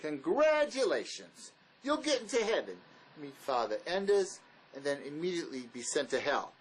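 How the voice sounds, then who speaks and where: Bitter drifter on the run, played by a male actor: cutting sarcasm, highish voice, very animated drama delivery. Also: moderate pace, a little hiss or hum, rough recording. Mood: sarcastic. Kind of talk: dramatic script reading.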